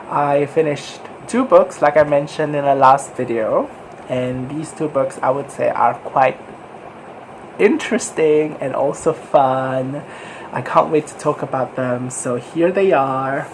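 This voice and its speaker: Booktuber w a cold, sniffly, rambly chat, laughs a lot, mid pitch, faint noise behind. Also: rough recording. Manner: chatty and rambling, with frequent little laughs. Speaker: book vlogger. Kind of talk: casual book review chat.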